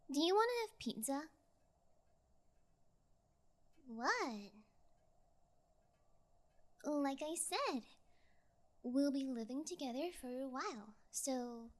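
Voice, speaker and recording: Casting: woman performing a yangire girl captor, ASMR audio drama; unhurried, very expressive swings, bored, teasing, sweetly sociopathic. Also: high-pitched, full character voice. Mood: lazy, bored. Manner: lazy, teasing, falsely sweet, casual. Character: bored young female yangire kidnapper, playfully sociopathic. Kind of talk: ASMR audio role play.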